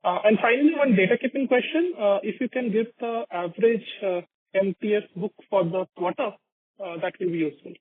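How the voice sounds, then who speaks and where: A man's voice: high-pitched, fairly quick, husky, no echo. A man with an Indian accent, an analyst asking detailed, unscripted questions on a business call. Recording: dry, phone-like conference line.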